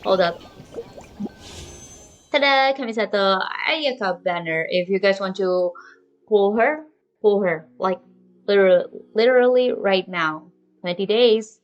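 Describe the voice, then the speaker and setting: A woman hosting a gaming channel, chatting casually and excitably to viewers and sometimes to herself. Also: mid-range voice, measured pace, pauses often. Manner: informal, excitable, talks to herself. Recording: dull lo-fi mic over game music. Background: game music.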